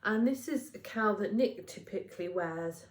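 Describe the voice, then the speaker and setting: A woman's voice: mid-range voice, animated, normal speed. Chatty British woman, congested, in an echoey room. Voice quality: congested, slightly nasal. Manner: chatty, rambling, emphatic repetition, tag questions. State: congested from a cold. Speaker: knitting podcast host. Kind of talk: casual chat to camera. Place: indoors at a craft workplace. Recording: roomy, echoing indoor sound.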